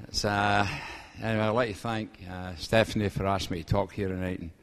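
Older Scottish man, low-pitched voice. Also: level tone, brisk, clean tone. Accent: Scottish, Glasgow area. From Scotland. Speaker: guest main speaker. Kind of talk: personal testimony speech to a gathering. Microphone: event microphone.

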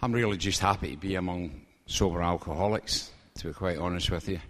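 An older man with a Glasgow-area Scottish accent giving a personal testimony to a gathering, halting and plain-spoken with dry humour, speaking into an event microphone. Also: sits low. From Scotland. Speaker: guest main speaker.

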